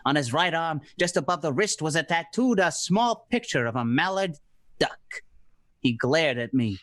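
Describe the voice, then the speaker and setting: A man's voice: on the high side, animated, normal speed, fairly bright tone. A man playing a cynical, terse, wisecracking private detective in a noir radio play. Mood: wry narrating. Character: hard-boiled cynical male private detective.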